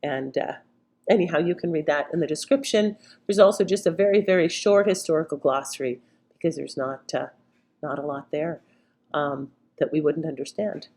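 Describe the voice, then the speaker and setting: A woman hosting a podcast, hoarse and under the weather, chatting in a rambling casual way. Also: sits low, normal speed, a little mellow. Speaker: podcast host. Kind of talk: casual introduction.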